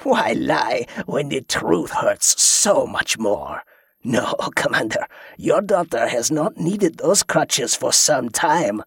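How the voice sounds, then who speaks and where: A man voicing a wounded, mocking male pirate prisoner who speaks slowly with a chuckle and a leer. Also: mid-range voice, expressive, creaky, a bit bright, slightly rough, dry recording, studio quality, exaggerated voice. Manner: chuckling, slow, leering.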